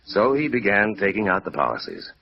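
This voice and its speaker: A man's voice: lowish voice, very animated, a little fast, vocal fry. An actor playing an earnest, sentimental middle-aged insurance claims man in a 1950s radio drama, talking conversationally. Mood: earnest, wistful. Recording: muffled vintage radio recording.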